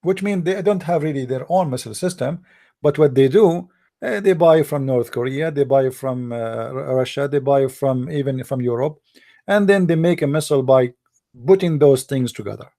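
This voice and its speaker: A man whose first language is Arabic, giving a heated casual monologue, often pausing and searching for words. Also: medium pitch, vocal fry, mellow. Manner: halting, searching for words, many fillers; heated, blunt opinions. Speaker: commentator.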